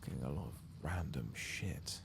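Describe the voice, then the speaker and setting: A man softly narrating a video game in ASMR style, murmuring and often slipping into a whisper. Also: rumbling low, normal speed, gravelly, background noise. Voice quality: soft, often breaking into whisper. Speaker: ASMR gaming host. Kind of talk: soft-spoken and whispered ASMR game playthrough.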